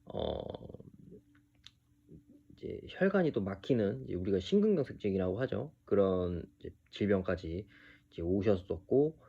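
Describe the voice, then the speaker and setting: Male, early 30s, low dark voice, halting unscripted vlog delivery, dull lo-fi sound. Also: very animated, gravelly, breathy edge. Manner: halting, hesitant, trailing into pauses. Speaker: video creator telling his own story. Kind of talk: unscripted personal monologue to camera.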